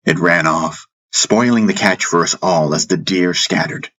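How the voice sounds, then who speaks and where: A man narrating a first-person fantasy audiobook in a measured way, with dramatic pauses. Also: low voice, pushed and bright. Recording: music bed under the voice. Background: background music.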